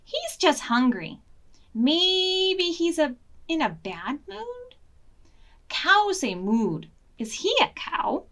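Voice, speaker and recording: Woman putting on cheeky, high-pitched chattering sheep. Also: sing-song, slow pace, a bit bright, exaggerated voice. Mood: gossipy. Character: gossipy, wry, cheeky sheep chattering in a picture book.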